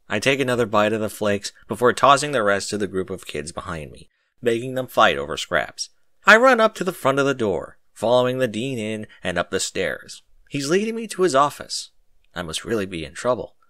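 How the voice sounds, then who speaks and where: A man reading a story as animated scripted narration, recorded close and dry. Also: medium pitch, brisk, no echo. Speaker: narrator of a fan fiction audiobook.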